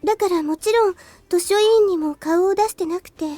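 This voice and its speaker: A woman's voice: very high voice, very fast, warm tone, dry recording, faint music behind. A woman playing a polite, sweet, teasing teenage schoolgirl in a Japanese visual novel. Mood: polite, earnest.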